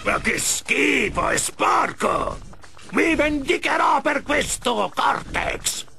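A man voicing a bitter, captive evil mask spirit in an animated game, menacing, pompous and aggrieved. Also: extremely high pitch, slow pace, creaky, a little hiss or hum, heavily acted. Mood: disgusted, vengeful. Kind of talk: animated game cutscene dub.

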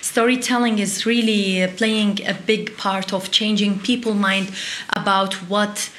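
A woman's voice: medium pitch, flat delivery, measured pace, fairly bright tone, a little hiss or hum. Earnest young Middle Eastern-accented woman, echoey room. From Iraq, later Syria and Turkey. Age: 20s. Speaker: interview guest, refugee youth delegate. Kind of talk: earnest interview answers. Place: small indoor interview set. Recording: roomy, echoey sound.

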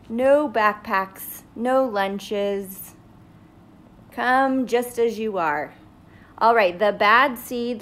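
A woman's voice: mid-range voice, fairly bright tone. A middle-aged woman teacher talking casually and directly to young viewers during a read-aloud, recorded on a phone in a living room. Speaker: school teacher. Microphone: phone at arm's length.

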